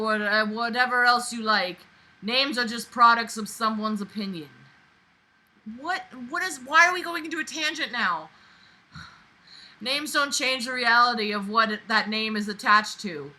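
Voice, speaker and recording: A woman reading aloud and commenting with exasperated, animated, emphatic reactions that swing dramatically in intensity. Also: a little high, low-quality recording.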